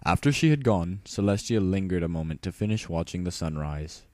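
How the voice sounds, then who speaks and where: A man's voice: sits low, lively intonation, fairly quick, a little mellow, no echo. A man reading a story aloud as a dramatic, scripted audiobook narration.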